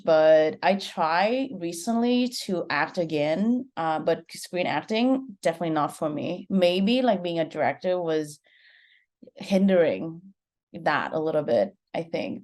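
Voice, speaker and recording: Low-voiced woman with a Vietnamese accent. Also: expressive, a little slow, darkish tone. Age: 20s. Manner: chatty, leans heavily on "like". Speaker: film director guest. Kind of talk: casual interview conversation.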